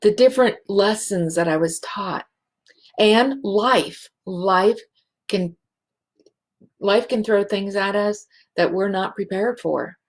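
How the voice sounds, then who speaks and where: A woman hosting a spiritual show, speaking in a halting, emotional way and close to tears. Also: medium pitch. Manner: slow, halting and emotional, many restarts. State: teary. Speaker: show host, healing facilitator. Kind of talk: heartfelt spiritual show monologue.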